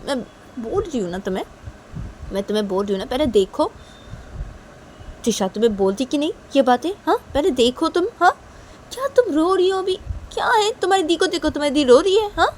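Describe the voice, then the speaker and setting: A woman's voice: sits high, sing-song, fairly quick, pauses often, a little hiss or hum, voice pushed up. A woman voicing an exasperated mother in a story, nagging insistently and repeating herself. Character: nagging, exasperated, scolding mother of young women.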